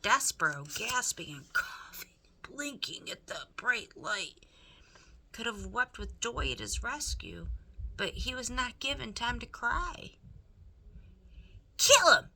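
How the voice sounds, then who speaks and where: A woman's voice: a little high, expressive, takes it slowly, gravelly, bright, forceful tone, rough recording. A woman reading a children's story aloud expressively, dramatically addressing the listener.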